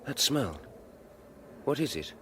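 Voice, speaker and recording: An actor playing a haunted young English gentleman, anguished and fearful, with overwrought formal phrasing in a period radio drama. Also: mid-range voice, big pitch swings, slow. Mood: uneasy, fearful. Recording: studio radio drama with background ambience noise.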